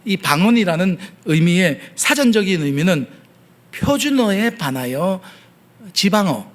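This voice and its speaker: High-voiced Gyeongsang-accented pastor preaching. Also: male voice, very animated, a little slow. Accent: Gyeongsang Korean. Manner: earnest preaching with frequent hesitation fillers. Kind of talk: sermon. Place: church sanctuary.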